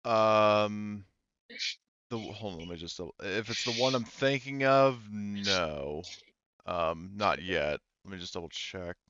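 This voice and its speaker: Low-voiced, rambling male game master, dull audio. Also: animated, slow pace, a bit bright, no room sound. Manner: rambling, self-interrupting. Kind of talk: casual out-of-character game talk.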